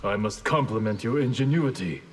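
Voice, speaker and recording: A man's voice: lowish voice, expressive, lightly acted. Composed, grave ninja mentor played by a man. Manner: measured, admonishing, formal. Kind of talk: video game fight banter. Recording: echoing hall reverb.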